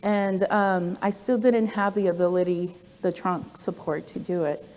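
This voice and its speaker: A woman in her forties with a mid-range voice tells her story to an audience in a conversational way. She often pauses on "um" and drifts into asides. Her voice rings out through the long reverb of a large hall. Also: medium pitch, steady tone, a little mellow. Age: 40s. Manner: conversational, with frequent "um" fillers and asides. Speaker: program director giving a talk. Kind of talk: personal presentation to an audience.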